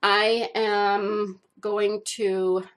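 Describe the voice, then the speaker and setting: A woman's voice: medium pitch, level tone, very slow. A woman hosting an art channel, talking casually to the camera with lots of fillers, stuffed up from a cold. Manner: chatty, rambling with many fillers.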